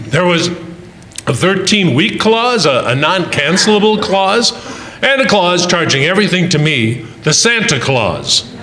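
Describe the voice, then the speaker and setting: Actor as wry, high-voiced radio comedy husband. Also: male voice, sing-song, a little slow, bright, forceful tone, long reverb tail, heavily acted, poor audio. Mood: wry, exasperated. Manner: wry, punning storyteller. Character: wry, exasperated but doting husband narrating domestic troubles. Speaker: actor and narrator in a radio play. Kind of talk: old-time radio comedy acting.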